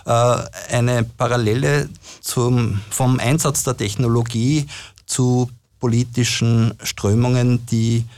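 Gravelly man with a halting delivery. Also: mid-range voice, flat delivery, darkish tone. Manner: hesitant, frequent fillers. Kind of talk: discussion, summarizing research.

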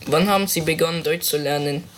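A teenage student interviewing a teacher, politely reading out prepared questions. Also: male voice, on the high side, steady tone, fast, a bit bright.

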